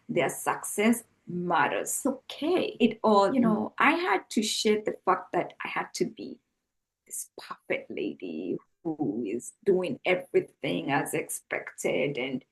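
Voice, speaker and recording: A woman's voice: fairly low pitch, poor audio. A middle-aged woman with a Kenyan accent, speaking as an interview guest in a reflective, earnest, conversational way.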